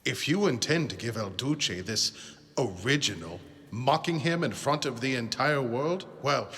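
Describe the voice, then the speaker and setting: Cutting formal art expert, film dub, lively pitch swings, long hall reverb. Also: male voice. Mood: stern warning. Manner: formal, measured, admonishing. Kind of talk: dubbed film dialogue.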